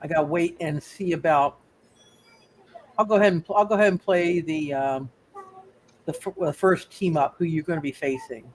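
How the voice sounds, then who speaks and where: A man speaking casually as game master, setting scenes haltingly and repeating phrases for emphasis. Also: fairly high pitch. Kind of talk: casual live tabletop game narration.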